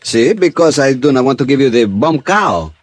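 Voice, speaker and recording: A man playing a relaxed, courteous Venezuelan police chief with a Spanish accent in a 1950s radio drama, haltingly searching for English words. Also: mid-range voice, no room sound. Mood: courteous, evasive.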